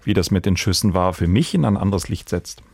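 A man's voice: sits low, a little fast, vocal fry, darkish tone, husky. A man hosting a true crime podcast in a conversational discussion style. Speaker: podcast host.

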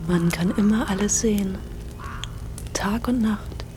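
An actress playing a gentle, dreamy wife in a radio drama, soft-spoken and accommodating. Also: female voice, medium pitch, a little slow, lightly acted. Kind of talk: German radio drama acting.

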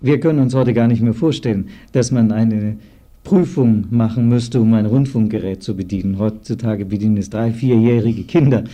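Very mellow, dark-voiced male interviewer. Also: lowish voice, moderate pace. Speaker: radio interviewer. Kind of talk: formal interview questions. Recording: 1950s archival radio recording.

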